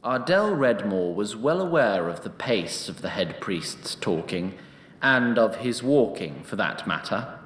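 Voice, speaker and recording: A man's voice: lowish voice, sing-song, measured pace, a bit bright. A man narrating a scripted fantasy story in a formal, wry manner. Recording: roomy echo.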